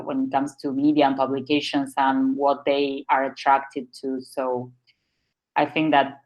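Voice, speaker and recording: A woman with a low voice answers interview questions. She pauses often, restarts her sentences and fills the gaps with "uh" and "like". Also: dull audio. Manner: thoughtful, with frequent fillers and restarts. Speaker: marketing manager, guest.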